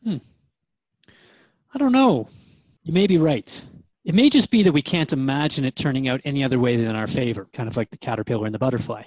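A man's voice: mid-range voice, fast, a little mellow, dry recording, lo-fi sound, close to an everyday voice. A man playing an earnest, searching radio host in a drama, asking questions in a thoughtful, conversational, hesitant way. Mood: thoughtful, unsure. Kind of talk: radio drama interview dialogue.

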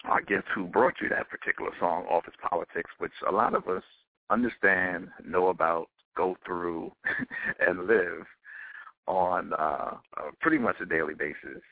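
A man hosting a casual radio interview, relaxed and often repeating words as he finds his phrasing. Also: deep voice. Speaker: radio show host. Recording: dry, tinny phone-like line.

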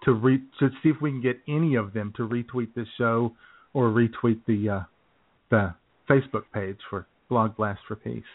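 A man's voice: medium pitch, steady tone, normal speed. A man co-hosting a radio talk show, speaking in a rambling, easygoing way. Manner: rambling and easygoing, with many fillers and restarts. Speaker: co-host. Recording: narrow phone-like sound.

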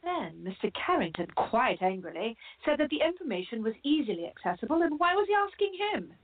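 Woman as genteel lady novelist, husky and lively. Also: a little high, a little fast, no room sound, exaggerated voice. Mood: troubled. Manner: formal, period diction. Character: Jane Austen, genteel woman novelist, tender and composed. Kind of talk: radio play acting. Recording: dull lo-fi, music behind.

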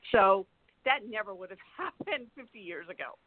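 A woman in her sixties telling personal stories as a podcast guest, speaking haltingly with frequent pauses mid-sentence. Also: mid-range voice, lively intonation, no echo, narrow, tinny audio. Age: 60s. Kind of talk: personal storytelling in conversation.

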